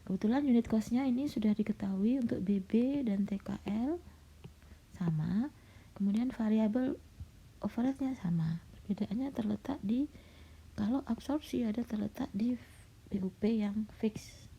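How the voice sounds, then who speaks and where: A middle-aged woman lecturer, slightly hoarse and coughing, patiently walking students through a recorded slide lecture with frequent fillers. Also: on the high side, normal speed, mellow. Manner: patient, explanatory, with frequent "nah" and "ya". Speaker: university lecturer. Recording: dull lo-fi recording.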